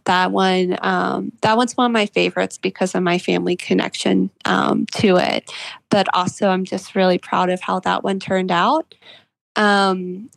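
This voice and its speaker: Woman guest author in an interview, earnest and measured, vocal fry, close dry sound. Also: mid-range voice, no echo. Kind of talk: reflective interview conversation.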